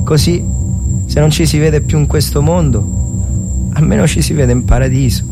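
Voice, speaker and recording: A man playing a simple, devout, lovesick soldier reading out his letter to his wife, earnest, plain-spoken and halting. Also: mid-range voice, lightly acted. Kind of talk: dramatic reading of a wartime letter. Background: music.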